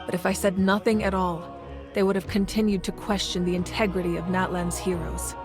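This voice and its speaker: An actress playing a calm, self-sacrificing female ruler in a game, speaking in a steady, commanding way. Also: on the low side, brisk, music in the background, close to an everyday voice. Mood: resolute. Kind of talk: game voice acting.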